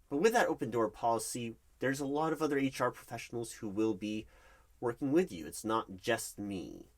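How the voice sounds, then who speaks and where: A man's voice: mid-range voice, natural voice. A man playing a nervous, polite recruitment manager in an ASMR interview roleplay, hesitant and stammering as he stays diplomatic. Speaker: job interviewer. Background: computer keyboard typing.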